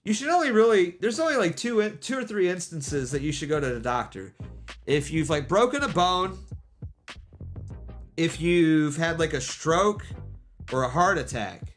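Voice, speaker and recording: Guy streaming and rambling to chat, kinda high voice, defiant, faint music behind. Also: sing-song, moderate pace. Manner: rambling, defiant, full of "like" and restarts. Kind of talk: casual chat with stream viewers.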